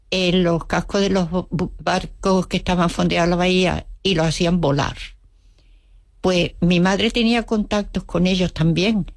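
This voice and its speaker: An older woman with a low voice tells her story as an interview guest, her Spanish carrying an Andalusian accent over a Russian mother tongue. She acts out quoted dialogue and slips in wry jokes. The recording is dry and close. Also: gravelly, dry recording. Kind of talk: storytelling reminiscence.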